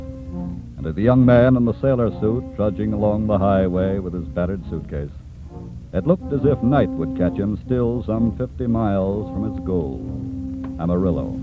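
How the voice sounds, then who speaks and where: An actor playing a grave, authoritative crime-case narrator with an American accent and measured 1940s radio delivery. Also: male voice, on the low side, close to an everyday voice. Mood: narrative, atmospheric. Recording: tinny 1940s radio recording, music behind.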